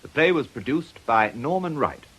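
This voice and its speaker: A man with an English accent giving a formal, scripted announcement as a radio announcer, in a husky voice. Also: mid-range voice, lively intonation, moderate pace, a bit bright, low-quality recording.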